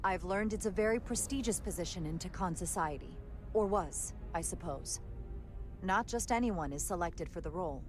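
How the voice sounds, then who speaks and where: A woman's voice: lowish voice, moderate pace, darkish tone, faint music behind, natural voice. A woman playing a tactful starship first officer in a video game, speaking in an earnest, diplomatic way. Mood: composed.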